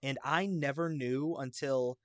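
A man's voice: medium pitch, unhurried, no echo. A man in a casual chat about a video game, eagerly explaining details at length.

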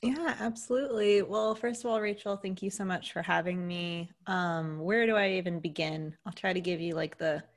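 Gravelly-voiced American woman coach. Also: on the low side, moderate pace, few pauses. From United States. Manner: earnest, animated storytelling with fillers. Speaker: guest, leadership coach. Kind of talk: casual interview storytelling.

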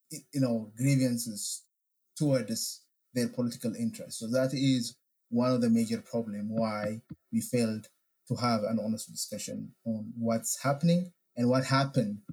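A man from Ethiopia with an Ethiopian accent, a researcher answering interview questions in a measured, deliberate way with many fillers. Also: mid-range voice, warm tone, low-quality recording. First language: Amharic and Afan Oromo. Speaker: researcher guest.

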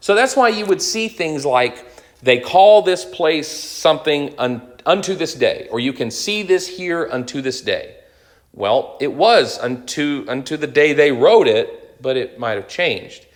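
A man's voice: a little high, expressive, measured pace. A man in his thirties giving an unscripted lecture on the Bible, explaining as he goes with fillers and restarts. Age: 30s. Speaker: Bible teacher.

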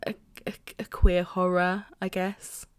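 Woman, lowish voice, casual book chat, laughs a lot. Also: flat delivery, slow pace, a little mellow, clean tone. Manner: chatty, laughs often, reads blurbs aloud. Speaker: podcast co-host.

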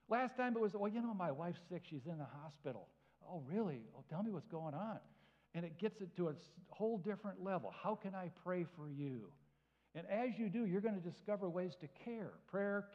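A man preaching to a church, animated and acting out both sides of everyday conversations. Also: high-pitched. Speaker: guest speaker. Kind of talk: sermon with acted-out anecdotes. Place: church hall. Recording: dull sound in a reverberant hall.

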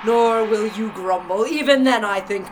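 A woman playing a scornful, wrathful sea god, declaiming forcefully in a classical epic audio drama, with some background noise. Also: medium pitch, normal speed, close to an everyday voice. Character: wrathful sea god taunting a shipwrecked wanderer.

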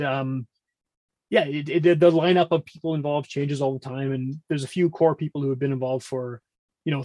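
Rambling man, slightly high, dry close sound. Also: a little mellow, no room sound. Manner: talkative, rambling, self-interrupting. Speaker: podcast guest, music podcast host. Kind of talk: casual conversational interview.

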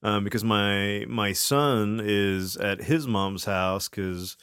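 A man's voice: on the low side, steady tone, slow, a bit bright, clear, resonant tone, dry recording, studio quality. A man co-hosting a podcast, chatting casually with lots of fillers and laughing asides, then telling a spooky story. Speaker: podcast co-host.

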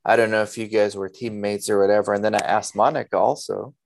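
A man's voice: lowish voice, little pitch movement, fast talker, vocal fry. A man hosting a casual interview in an informal, chatty way. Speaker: podcast host.

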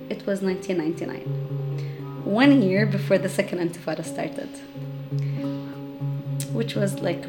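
A woman in her thirties, raised in Jordan, telling her family's story reflectively with many fillers. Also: mid-range voice. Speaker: interviewee. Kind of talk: personal storytelling. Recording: music playing under the voice.

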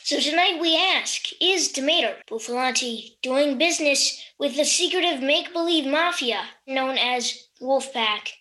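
Woman as a slow, high-voiced TV news presenter. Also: expressive, bright, forceful tone, full character voice. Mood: portentous. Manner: measured, portentous announcing. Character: formal television investigative news presenter. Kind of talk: children's audio drama acting, mock broadcast.

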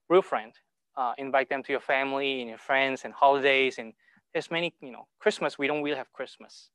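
Halting man. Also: medium pitch, fairly bright tone, clear, resonant tone, no room sound. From Hong Kong. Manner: halting, repeats words, broken grammar. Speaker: speaker sharing testimony. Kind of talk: informal talk to a church group.

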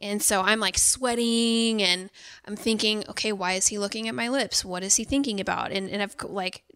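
A woman's voice: mid-range voice, steady tone, moderate pace, sharp tone, clean tone. A woman telling a personal story in a casual podcast conversation, candid and full of fillers.

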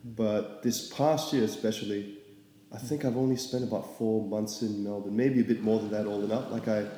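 A man's voice: fairly low pitch, moderate pace, a little hiss or hum. A young man from Singapore, an artist, talking about his path in a casual, rambling way with lots of fillers. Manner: relaxed, rambling, many fillers. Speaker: artist guest. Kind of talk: casual interview. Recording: echoey room with long reverb.

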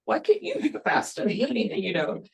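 An earnest woman from Kenya talks about planting a church as a missionary. She speaks in plain, unscripted sentences, often pausing on ums and you knows as she gathers her thoughts. Her pitch sits in an ordinary middle range. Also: huge pitch swings, gravelly, breathy edge. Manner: earnest, with many fillers like um and you know. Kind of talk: testimony at a mission event.

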